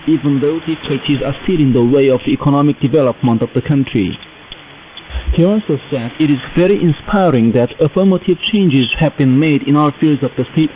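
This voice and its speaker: Guy reading formal news on shortwave, slightly high voice, muffled with faint hiss. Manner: formal, measured delivery. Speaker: radio news announcer.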